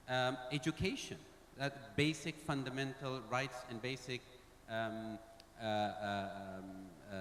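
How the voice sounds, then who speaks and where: A man giving impassioned remarks as a conference panelist, halting and repeating words as he searches for them. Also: mid-range voice, fairly bright tone. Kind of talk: impassioned public speaking at a conference. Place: large conference hall. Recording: echoey, noisy conference hall.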